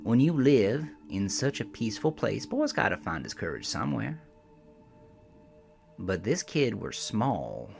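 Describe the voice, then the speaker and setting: A man acts out a gruff, middle-aged American trucker from the backroads in a horror audio drama. His voice sits low but swings widely as he rambles through his tale. He is cranky and foul-mouthed, with a folksy rural accent and turn of phrase. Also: sing-song, measured pace, a little mellow, faint music behind, exaggerated voice. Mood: uneasy. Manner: folksy, profane, rambling storytelling. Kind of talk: first-person horror audio drama narration.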